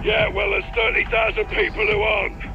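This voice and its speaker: Agitated, very high-voiced soldier. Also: male voice, very animated, brisk, gravelly, lo-fi sound, heavily acted. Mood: tense. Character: agitated, hard-pressed male soldier in a firefight. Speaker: soldier character. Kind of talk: military video game acting. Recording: game music and gunfire behind.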